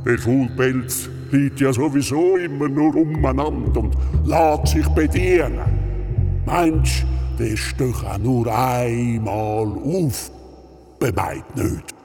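An actor playing a friendly, mischievous giant in a children's radio play, with a deliberate, comforting manner. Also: male voice, medium pitch, dark tone, close to an everyday voice. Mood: sly, scornful. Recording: long reverb, music behind the voice.

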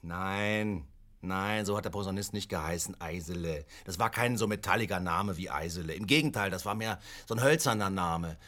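A man's voice: low-pitched, normal speed, a bit bright. A man playing a tipsy, forgetful bandsman in a comic radio play, rambling, repeating himself and contradicting stubbornly. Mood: musing.

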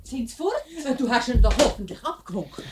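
A woman's voice: fairly high pitch, brisk. An actress playing a pushy, practical older Swiss German mother in a 1950s radio play, on a noisy old recording. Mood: urging. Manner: insistent, animated.

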